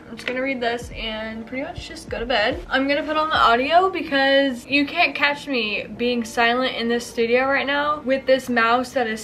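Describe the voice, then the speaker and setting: High-voiced young American woman vlogging. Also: fairly bright tone. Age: 20s. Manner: chatty, informal and slangy, peppered with "like". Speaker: vlogger, new graduate nurse. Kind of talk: casual chat to camera.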